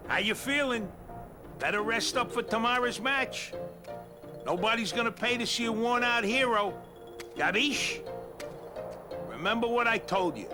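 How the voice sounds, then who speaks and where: An actor playing a brash, wisecracking little middle-aged satyr trainer in a video game, brusque and streetwise. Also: male voice, very high-pitched.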